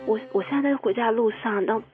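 A woman's voice: a little high, rapid-fire. A woman with a Taiwanese Mandarin accent playing a nervous young caller, through a phone effect in an audio drama. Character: nervous young woman phoning her housemates. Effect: phone call filter. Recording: muffled phone-like sound, faint music.

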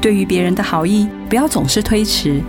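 Casting: actress as concerned female best friend, mid-pitched, Taiwanese Mandarin, radio play over clear background music. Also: brisk, lightly acted. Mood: worried, reassuring. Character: caring, concerned woman friend, gently admonishing. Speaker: voice actor in a radio drama. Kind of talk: dramatic radio play acting.

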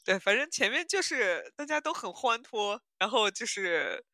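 A woman hosting a casual podcast chat, animated and giggly, often repeating words as she feels her way through a thought. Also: mid-range voice, moderate pace, no room sound, clean studio sound. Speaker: podcast host.

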